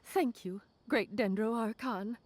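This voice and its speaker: A woman's voice: fairly high pitch, measured pace, darkish tone, a little hiss or hum, close to an everyday voice. A woman voicing a nervous female clerk at an academy in a video game, polite and anxious to please. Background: game music.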